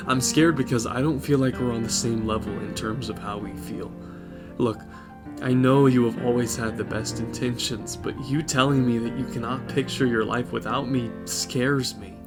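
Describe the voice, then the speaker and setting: Audio drama role: young man, apologetic and torn, average-pitched voice, guitar playing underneath played by an actor. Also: steady tone, normal speed, lightly acted. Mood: earnest, anxious. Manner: earnest, apologetic. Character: conflicted, remorseful young man ending a relationship. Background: plucked guitar music.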